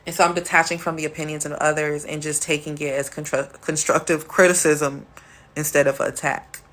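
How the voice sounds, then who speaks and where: Deep-voiced woman chatting on a livestream. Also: flat delivery, brisk, fairly bright tone. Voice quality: deep, smooth, sultry voice. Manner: playful and chatty, with bursts of high laughter. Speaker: livestream host. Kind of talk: casual livestream chat, answering comments.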